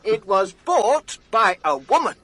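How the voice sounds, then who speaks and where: High, bright, theatrical male art dealer. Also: sing-song, slow, heavily acted. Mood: self-important. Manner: theatrical, fussy, pleased with himself. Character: excitable, self-satisfied male art dealer. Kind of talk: British radio drama acting.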